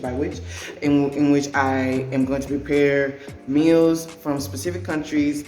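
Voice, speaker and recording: A woman's voice: very deep voice, a little mellow. A woman in her thirties hosting a casual cooking vlog from her home kitchen, talking in a chatty, rambling way with restarts. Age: 30s. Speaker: vlogger and mother. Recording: echoey kitchen.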